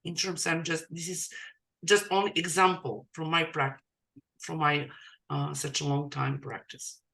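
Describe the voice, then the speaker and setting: A woman speaking English as a second language, a coach talking in a casual podcast conversation, halting with many restarts. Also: sits low, little pitch movement, gravelly, fairly bright tone. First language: non-English.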